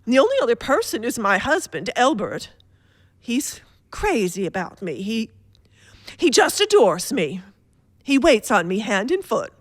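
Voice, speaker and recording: A nervous, bedridden wife in a radio thriller. She is fretful and demanding, drawing her words out theatrically in a slow, high voice. The sound is close and dry. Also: very animated, dry recording. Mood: flustered, fearful. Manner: fretful, imperious, theatrical, halting then insistent. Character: anxious, demanding bedridden wife, alone and frightened. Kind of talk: suspense radio play acting.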